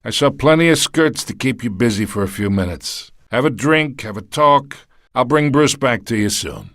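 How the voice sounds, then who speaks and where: Middle-aged mob boss, taunting, streetwise, very animated pitch, dry close sound, game villain. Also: male voice, moderate pace, no room sound. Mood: persuasive, threatening. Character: smug, menacing middle-aged male crime boss. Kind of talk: video game voice acting.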